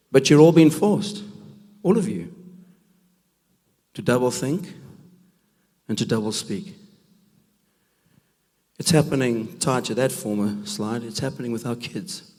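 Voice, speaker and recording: A man with a rough, gravelly voice from a cold, giving a preaching talk at a men's conference, emphatic and full of rhetorical questions. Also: medium pitch, warm tone. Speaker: conference speaker. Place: church hall. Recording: long reverb of a large hall.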